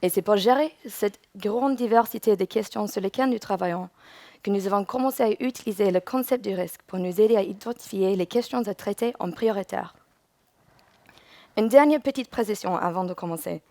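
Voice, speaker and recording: A woman's voice: medium pitch, brisk, dark tone, dry recording. A woman from England, a native English speaker with an English accent, giving a prepared conference presentation as a user researcher.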